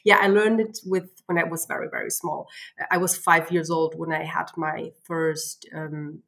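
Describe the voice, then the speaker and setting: A woman's voice: low-pitched, steady tone, normal speed, vocal fry, a bit bright. A woman in her thirties from Spain, a financial planner who is a guest on a podcast and tells her story with many fillers and frequent laughs. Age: 30s.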